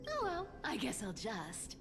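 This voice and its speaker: A woman's voice: on the high side, huge pitch swings, measured pace, full character voice. A woman voicing a sly, playful cat thief in a video game, teasing and mocking in a theatrical, giggly way. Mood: cocky, teasing. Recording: game music behind the voice.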